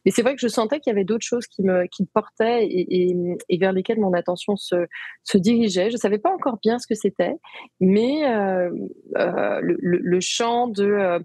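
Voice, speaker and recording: A woman's voice: medium pitch, moderate pace, mellow, slightly rough, dry recording. A woman from France with a French accent, telling her story as an interview guest with many fillers and restarts. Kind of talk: conversational interview.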